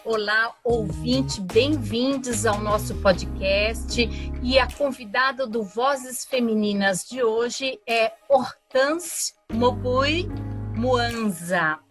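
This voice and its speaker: A woman co-hosting a podcast, reading a scripted guest introduction at a deliberate pace. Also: fairly high pitch, faint music behind. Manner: slow, deliberate delivery. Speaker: podcast co-host.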